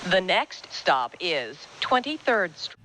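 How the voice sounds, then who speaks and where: Bright, unhurried woman announcing trains. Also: fairly low pitch, sing-song, a little hiss or hum, low-quality recording. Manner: formal, deliberate. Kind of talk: scripted transit announcement.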